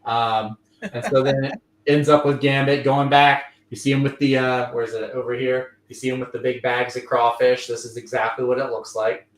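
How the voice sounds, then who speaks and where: A man's voice: medium pitch, little pitch movement, moderate pace, far from the mic. A man from Louisiana chatting through a comic recap on a live stream. He rambles, piles on fillers and slips into little quoted voices. His voice sounds roomy and sits a bit back from the mic. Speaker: comic book show host. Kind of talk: casual livestream review chat.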